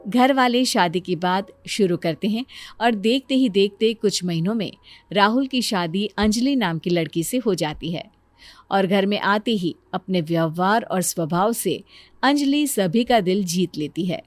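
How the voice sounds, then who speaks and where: A woman's voice: medium pitch, animated, unhurried, no echo. Woman narrating a moral story.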